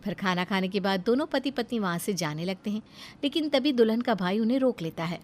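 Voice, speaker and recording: A woman reads a children's cartoon story aloud in an even, storytelling delivery. Her voice sits at an ordinary middle pitch. Faint noise hums underneath. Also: fairly quick. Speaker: narrator. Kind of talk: scripted storybook narration.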